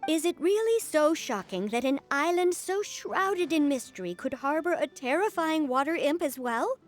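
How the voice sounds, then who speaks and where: High-voiced, dramatic townswoman. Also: expressive, normal speed, faint music behind. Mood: earnest, insistent. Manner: storytelling, earnest and theatrical. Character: dramatic, superstitious woman representing a fishing association. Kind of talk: video game voice acting.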